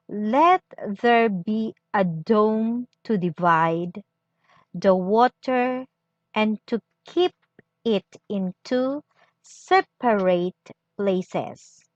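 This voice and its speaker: A woman reads scripture aloud in a solemn, deliberate style, in short phrases with pauses between them, on a dull recording. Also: fairly high pitch, expressive, dry recording. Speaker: scripture reader. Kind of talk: slow, solemn scripture reading.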